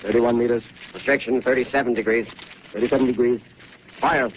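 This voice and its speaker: A man's voice: medium pitch, very animated, brisk, vocal fry. A man acting an army artillery gunner calling out ranges and fire orders in barked, repeated commands, on a dull, hissy 1930s radio recording. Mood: brisk. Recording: dull 1930s radio broadcast, loud hiss.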